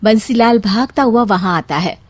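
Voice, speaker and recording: A woman's voice: on the high side, animated, normal speed, dry recording. A woman narrating a scripted story as its storyteller.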